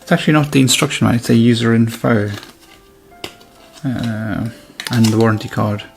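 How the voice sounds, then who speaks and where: A man's voice: mid-range voice, moderate pace, creaky, soft background music. A man doing a casual, hands-on product review, thinking aloud informally as he demonstrates. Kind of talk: casual unboxing and review commentary.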